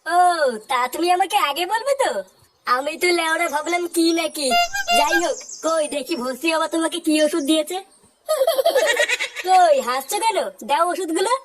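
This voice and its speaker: An actor voicing a blustering, foul-mouthed cartoon husband with an artificially pitched-up voice, full of indignation. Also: moderate pace. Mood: baffled. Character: hot-tempered, foul-mouthed drunkard husband and club boss. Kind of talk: comic cartoon dubbing. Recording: very noisy track.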